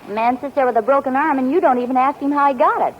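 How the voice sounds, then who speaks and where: A woman playing an inquisitive, high-voiced radio-sitcom wife. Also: racing, slight background noise. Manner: inquisitive, bright, persistent. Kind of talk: 1940s radio sitcom acting. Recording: muffled old radio broadcast with audience.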